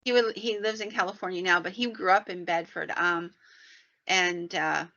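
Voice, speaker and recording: Bright, low-pitched woman telling stories. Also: steady tone, normal speed. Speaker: podcast host and storyteller. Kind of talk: conversational storytelling about the paranormal. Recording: dull, lo-fi sound.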